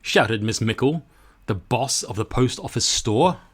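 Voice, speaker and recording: A male storyteller with a medium-pitched voice reads for children. His delivery is slow and very animated, shaping the rhymes with dramatic rhythm. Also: husky. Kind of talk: expressive scripted children's read-aloud.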